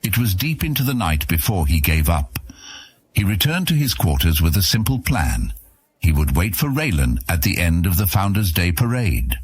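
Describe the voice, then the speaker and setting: A man's voice: deep voice, sing-song, normal speed, husky. A man narrating a fantasy audio serial as a dramatic, scripted story.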